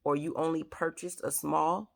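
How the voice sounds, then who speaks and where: Woman host, hoarse and gravelly, earnest advice delivery full of fillers. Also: low-pitched, fairly even intonation, moderate pace. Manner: earnest, repetitive, many fillers. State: raspy, scratchy throat. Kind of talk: advice talk to camera.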